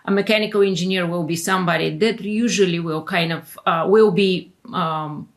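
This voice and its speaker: Gravelly-voiced woman from Bulgaria. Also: on the low side, moderate pace. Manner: hesitant, many fillers and restarts. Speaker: immigration attorney guest. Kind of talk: casual interview talk.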